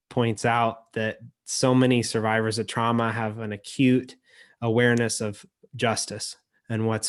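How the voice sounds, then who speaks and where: A man hosting a poetry reading over a video call, speaking in a halting, reflective way. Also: lowish voice, clear, resonant tone. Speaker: emcee and editor.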